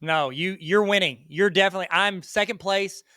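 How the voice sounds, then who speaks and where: A man with a Southern US accent chats as a guest in a loose, rambling style full of self-deprecating jokes. His voice sits a bit high and sounds bright and smooth. The sound is close and dry. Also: brisk, no room sound. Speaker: guest educator. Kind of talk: casual interview chat.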